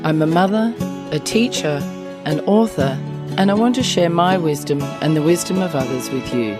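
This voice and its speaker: A woman's voice: low voice, expressive, normal speed, over music. A middle-aged woman with an Australian accent, hosting a conversational podcast interview.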